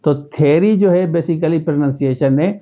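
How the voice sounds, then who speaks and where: Earnest Sindhi-accented man with mellow tone. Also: fairly high pitch, moderate pace, lo-fi sound. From Pakistan. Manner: earnest, gestures with both hands. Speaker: educationist giving interview advice. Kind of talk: direct-to-camera advice vlog. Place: small indoor room.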